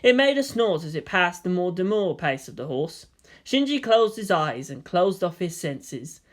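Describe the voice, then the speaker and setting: Fan fiction audiobook narrator: mid-pitched, scripted, expressive storytelling delivery. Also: moderate pace.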